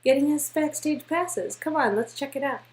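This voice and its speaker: A woman's voice: high-pitched, normal speed, darkish tone, a little hiss or hum, lightly acted. A woman playing a playful, adventurous young woman on a date, in performed game dialogue. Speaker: voice actor reading a visual novel love interest.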